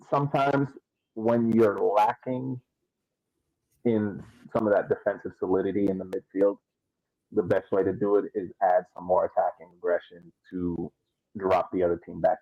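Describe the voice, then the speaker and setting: A man with a fairly low voice, recorded close and dry, talks as a sports podcast co-host. He explains tactics conversationally, repeating phrases for emphasis. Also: gravelly, dry recording, dull audio. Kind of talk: casual soccer tactics discussion.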